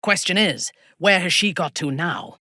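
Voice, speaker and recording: A woman voicing a ruthless, sensual female warrior commander in a fantasy video game, with a husky, imperious and measured menacing delivery. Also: on the low side, pushed and bright, no room sound, studio quality. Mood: cool, appraising. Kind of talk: dramatic fantasy game dialogue.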